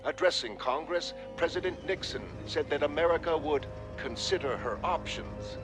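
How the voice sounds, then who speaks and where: Actor as formal TV newsman over loud music. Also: fairly high pitch, natural voice. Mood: formal, serious. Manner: formal, measured, authoritative. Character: earnest, formal male television newsreader. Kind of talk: scripted news bulletin delivery.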